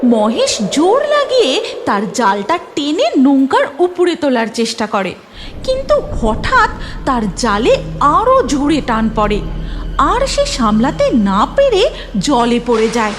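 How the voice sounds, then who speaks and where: Casting: female cartoon narrator, high voice, unhurried and dramatic, expressive range. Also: music in the background.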